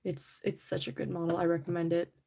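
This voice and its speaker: A young woman hosting a casual livestream, chatty and giggly, her voice a little raspy from allergies. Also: on the low side, completely flat delivery, brisk, warm tone. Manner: chatty, giggly, rambling with many "like"s. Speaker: livestream host. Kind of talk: casual livestream chat while painting a figure. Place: indoors at home.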